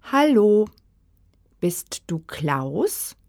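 Woman, language-course reader, very slow, gravelly, dry close sound. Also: mid-range voice, very animated, a little mellow, no room sound. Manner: careful, deliberate enunciation. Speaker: course audio speaker. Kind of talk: slow textbook reading.

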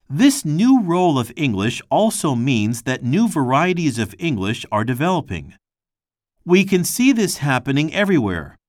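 A man reads a textbook passage aloud in a measured, scripted way. His pitch rises and falls a lot from phrase to phrase. The sound is close and dry, with no room echo. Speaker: textbook audio reader.

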